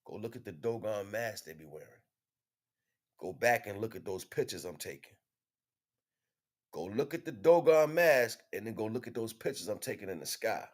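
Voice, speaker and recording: A man with an American accent and a notably low-pitched voice hosts a casual livestream monologue on spiritual matters. His delivery is conversational and slangy, with swearing mixed in freely. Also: lively intonation, normal speed. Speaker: livestream host.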